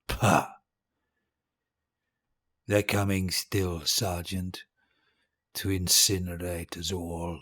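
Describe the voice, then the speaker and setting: An actor playing a cruel, vain old dictator in an audio drama, speaking in a grandiose, rambling way with a husky voice. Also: male voice, deep voice, heavily acted. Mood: bitter, defiant. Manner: grandiose, rambling, imperious, halting. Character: cruel, vain old dictator giving his dying speech.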